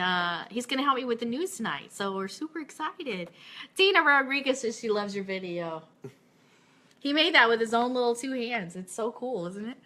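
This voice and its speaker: A woman hosting a casual live radio show with gushing, excitable, chatty delivery, moving between chat and news items. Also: on the high side.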